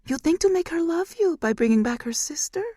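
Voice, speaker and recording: A woman's voice: very high-pitched, animated, brisk, mellow, dry recording, clean studio sound, pitched above the performer's own voice. A woman voicing a scheming, mocking demon mother in an audiobook.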